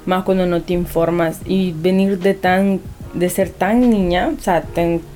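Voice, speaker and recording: A young woman talks earnestly, pausing and restarting as she goes. Her voice sits fairly low for a woman. It has the sound of a sit-down interview guest. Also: fairly even intonation, mellow, faint music behind. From Honduras. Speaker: anonymous guest. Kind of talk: personal interview.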